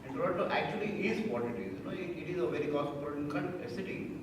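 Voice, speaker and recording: A man's voice: on the high side, rapid-fire. A man from India speaking earnestly and with feeling in an interview, often restarting his words. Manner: earnest, emotional, with repeated restarts. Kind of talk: reflective interview. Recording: echoey hall, distant mic, noisy.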